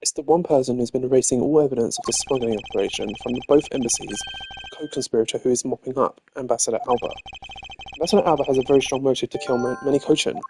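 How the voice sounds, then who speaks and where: A man voicing a composed, analytical prosecutor in a video game, speaking in a deliberate, formal manner. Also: mid-range voice, background noise, close to an everyday voice. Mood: serious, reasoning. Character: composed, analytical male prosecutor investigating a murder. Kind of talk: voiced video game dialogue read live. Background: game music.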